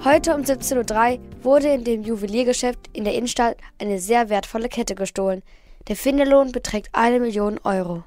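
High young girl's voice, scripted narration. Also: moderate pace, a little mellow, soft background music. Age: child about 10 to 13. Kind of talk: scripted radio play narration.

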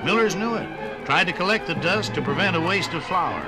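A man narrating a scripted 1950s radio documentary in an emphatic, measured and dramatic announcer's style, heard on an old broadcast record. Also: high voice, expressive, loud music behind the voice, poor audio.

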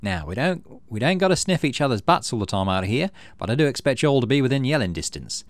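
A man's voice: medium pitch, very animated, quick pace, no room sound, close to an everyday voice. A man voicing a breezy, protective woman chief surveyor in a visual novel, with folksy informal talk. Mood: brisk, friendly. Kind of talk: acted visual novel dialogue.

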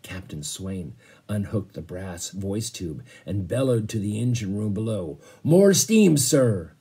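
A man in his fifties reading a children's novel aloud expressively, pausing to explain it to a class. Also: sits low, dark tone, breathy edge. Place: small bedroom, indoors.

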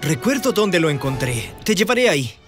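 A man's voice: on the high side, very animated, normal speed, faint music behind. A man voicing an earnest, helpful young dinosaur in a children's cartoon, explaining things sincerely. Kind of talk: animated children's cartoon dub.

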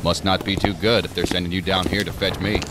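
An actor playing a bitter, sardonic federal agent in an audio drama, gruff and sarcastic. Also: male voice, low voice, little pitch movement, fast talker, noisy background, close to an everyday voice. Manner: gruff, sarcastic, clipped orders. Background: music.

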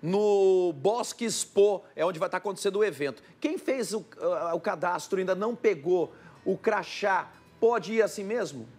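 A man's voice: high-pitched, normal speed, a little mellow, soft background music. A man in his forties hosting a live TV studio interview, enthusiastically hyping the invited speakers. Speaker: TV host. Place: TV news studio.

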